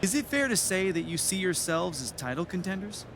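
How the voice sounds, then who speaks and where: An actor playing an eager, probing sports reporter, politely asking post-game questions in a video game. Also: male voice, sits high, very animated, brisk, fairly bright tone, heavily acted. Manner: polite, inquisitive. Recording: noisy urban background ambience.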